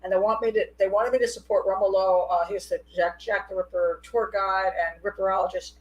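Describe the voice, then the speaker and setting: A middle-aged woman hosting a live call-in show, chatty and quick to laugh. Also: medium pitch, muffled recording. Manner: chatty, laughs often, animated. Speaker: host. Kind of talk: casual live call-in chat.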